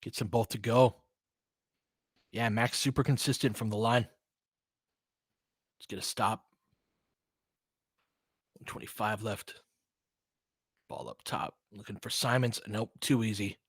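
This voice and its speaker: Excitable man calling basketball play-by-play. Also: fairly low pitch, measured pace, long pauses, husky, no room sound, studio quality. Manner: clipped phrases, excitable, swings from muttering to yelling. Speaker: play-by-play commentator and fan analyst. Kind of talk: live basketball play-by-play commentary, casual watch-along.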